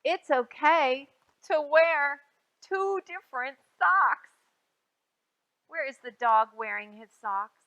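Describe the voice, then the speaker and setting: A woman hosting a storytime for young children, reading aloud in a sing-song way with drawn-out, emphasized phrases and simple prompts to her listeners. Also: high-pitched, lively intonation, bright, forceful tone, lo-fi sound. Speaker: children's librarian and storytime host.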